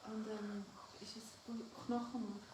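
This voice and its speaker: A woman interpreting a spiritual class phrase by phrase, halting at times as she searches for words. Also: medium pitch, little pitch movement, a little mellow, a little hiss or hum, poor audio.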